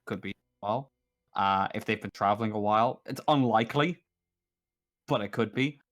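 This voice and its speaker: A man acting as game master, improvising narration and table talk in a halting way, repeating words as he thinks aloud. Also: lowish voice, a bit bright.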